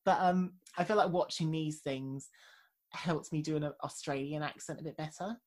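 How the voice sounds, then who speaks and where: A young Englishwoman with a low voice chats on a podcast. She rambles loosely and leans on "like" and "um" as she goes. Her tone stays easy and conversational. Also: normal speed, darkish tone. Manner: chatty, heavy on "like" and "um". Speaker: podcast co-host. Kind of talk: casual chat.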